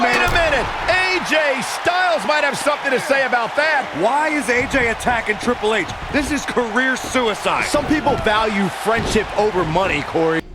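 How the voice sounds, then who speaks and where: A man playing an excitable ringside wrestling commentator in a video game. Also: high voice. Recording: roaring arena crowd and loud noise.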